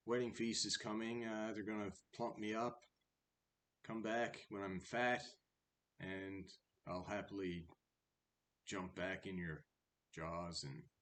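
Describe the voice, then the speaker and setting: A man's voice: lowish voice, slight background noise, natural voice. A man voicing a thin, crafty little dog in a storybook fable, talking its way out of danger.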